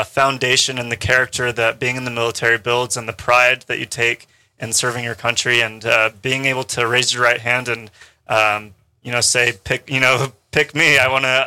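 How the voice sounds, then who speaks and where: A man who is a guest on a radio panel, speaking in a measured way with frequent fillers. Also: medium pitch, little pitch movement, bright, forceful tone, clean tone, rough recording. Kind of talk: radio interview.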